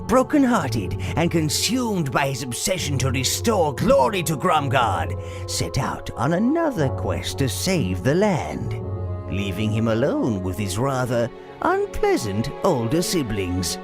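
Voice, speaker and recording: A man's voice: mid-range voice, huge pitch swings, slow pace. A man playing a gleeful, sinister old minion who serves evil, telling a fantasy game tale in a sly, theatrical way. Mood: mocking, ominous. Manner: sly, theatrical, relishing every word. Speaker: narrator and advisor. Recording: loud music behind. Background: loud music.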